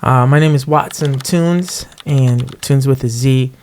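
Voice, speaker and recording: A man's voice: mid-range voice, measured pace, a little mellow, a little hiss or hum. A man hosting a podcast in a casual, conversational way. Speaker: podcast host, music artist.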